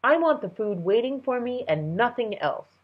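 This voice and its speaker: A playful, commanding storybook king, as a woman reader puts him on, speaks with regal decisiveness and an amused edge. The delivery is emphatic and swings widely in pitch, with a husky grain and a somewhat dull recording. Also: very animated, moderate pace. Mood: imperious, decisive.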